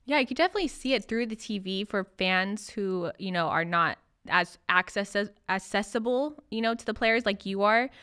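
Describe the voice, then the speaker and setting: Female host for a relaxed chat interview, crisp clear voice in a middle register. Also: medium pitch, moderate pace, few pauses. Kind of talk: casual chatty interview.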